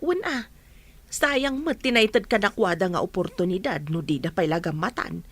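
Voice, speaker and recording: Actress as village mother, Ilocano accent, medium pitch, practical and urging, for radio drama. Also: moderate pace, close to an everyday voice. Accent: Filipino, Ilocano. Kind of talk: radio comedy drama acting.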